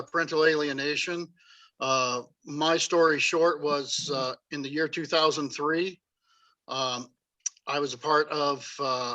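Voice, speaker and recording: A man telling his personal story as a talk-show guest, halting with frequent fillers. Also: fairly high pitch, pushed and bright, muffled recording. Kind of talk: personal testimony.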